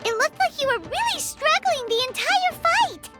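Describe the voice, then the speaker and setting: Squeaky, excitable little fairy companion. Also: female voice, moderate pace, over loud music. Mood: curious, concerned. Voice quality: squeaky, childlike. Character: small childlike floating fairy companion, excitable and blunt. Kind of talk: video game voice acting.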